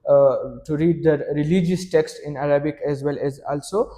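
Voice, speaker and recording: Hesitant young man with mellow voice. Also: fairly high pitch, steady tone, moderate pace. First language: Pashto. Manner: hesitant, many fillers and restarts. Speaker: test candidate. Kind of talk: mock speaking exam answers.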